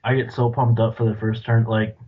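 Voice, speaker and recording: A man's voice: on the low side, flat delivery, fairly quick, breathy edge. A man in his twenties co-hosting a casual chat, laid-back and dryly joking. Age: 20s. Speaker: podcast co-host. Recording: dull lo-fi audio.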